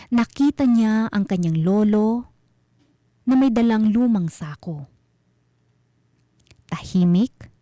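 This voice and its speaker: Slow, measured Filipino woman storyteller. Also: medium pitch, warm tone, dry recording, studio quality. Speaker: host and storyteller. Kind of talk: scripted narration of mystery stories.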